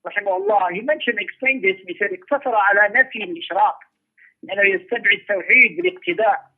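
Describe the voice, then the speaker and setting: A high-pitched man gives a religious lecture over a narrow, telephone-like recording. He explains emphatically, circling back to repeat phrases and putting rhetorical questions to his listeners. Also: rapid-fire. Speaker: religious teacher giving a class. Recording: muffled narrow-band radio-like sound.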